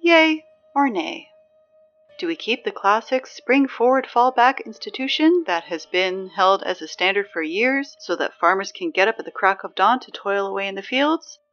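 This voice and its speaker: A woman playing a chatty, exasperated high school morning announcer in a scripted audio drama, conversational and wry with hesitant asides. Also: expressive, normal speed, fairly bright tone, dull audio, soft background music, lightly acted. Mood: brisk announcing.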